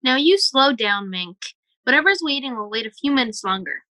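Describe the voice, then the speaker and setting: A woman's voice: sits high, very animated, moderate pace, pushed and bright, no echo, exaggerated voice. A woman playing a patient, amused, indulgent mother in an audio drama. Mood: gently firm.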